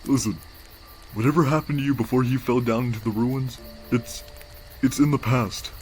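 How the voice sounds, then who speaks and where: A man voicing a weary, wisecracking skeleton big brother in a comic dub, casual and halting, with a tender, secretly anguished streak. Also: medium pitch, steady tone, a little mellow, a little hiss or hum, close to an everyday voice, rough recording. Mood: gentle, serious. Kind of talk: comic dub character acting. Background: background music.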